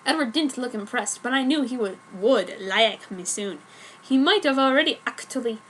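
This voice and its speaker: A woman's voice: a little high, animated, moderate pace, fairly bright tone, low-quality recording. A woman narrating amateur fan fiction in the first person as a theatrical dramatic reading. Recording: noticeable background noise.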